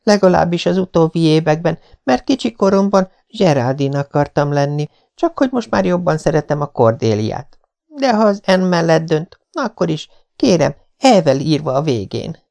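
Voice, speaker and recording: A man's voice: fairly high pitch, sing-song, creaky, a little mellow. A man reading an audiobook voices a dreamy, dramatic young orphan girl with a theatrical, pleading manner.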